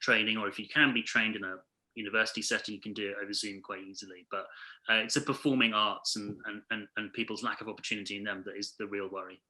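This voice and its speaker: A man talks through his thoughts on an informal panel over a video call. His voice sits low but has a very bright, forceful edge. The phrasing is hesitant and stop-start as he works toward each point. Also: fairly even intonation, quick pace, rough recording. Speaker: panel speaker, arts editor and book prize judge.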